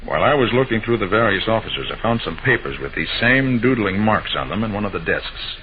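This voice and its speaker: Tinny noisy old radio recording, assured American male master detective, lively intonation, clever, 1940s radio-play style. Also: fairly low pitch, fairly quick, a crowd in the background. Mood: explaining. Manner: assured, explanatory. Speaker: radio drama lead actor.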